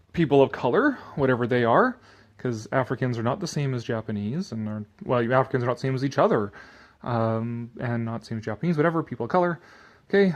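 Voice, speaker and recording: Guy with a slightly high voice doing a dramatic article reading, snarky rambling comments. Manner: sardonic, theatrical when reading, rambling asides.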